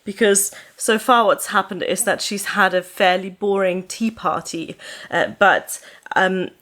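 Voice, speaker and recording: A young woman whose first language is German talks casually into a camera set close in front of her. Her delivery is loose and meandering, full of ums and uhs as she sits on a sofa in her living room. Her voice sits at a middling pitch. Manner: relaxed, rambling, with frequent ums and uhs. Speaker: book vlogger.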